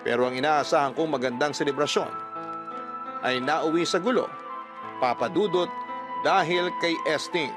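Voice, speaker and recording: A man's voice: fairly high pitch, normal speed, pauses often. A man reading a scripted first-person story as a radio-style storyteller. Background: background music.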